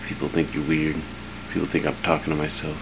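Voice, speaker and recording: A deep-voiced man from Southern California co-hosting a casual podcast, rambling and swearing freely with lots of restarts. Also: completely flat delivery, fast talker. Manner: rambling and profane, with many restarts. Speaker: podcast co-host. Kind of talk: casual chat. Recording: noisy home podcast recording.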